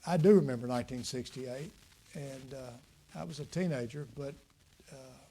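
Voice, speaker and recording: A man, speaking at a public talk and answering audience questions in a folksy, reflective way with many pauses. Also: mid-range voice, dark tone, husky, low-quality recording. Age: 60s. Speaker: guest speaker, former state legislator. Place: lecture room with audience.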